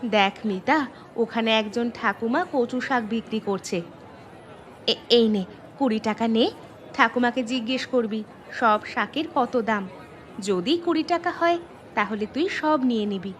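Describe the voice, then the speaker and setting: A woman voicing a caring, hard-up young village mother in a cartoon, speaking in a gentle, practical way. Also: fairly high pitch, measured pace, long pauses, some chatter behind, a little hiss or hum, lightly acted. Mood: motherly. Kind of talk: Bengali moral-story cartoon dubbing.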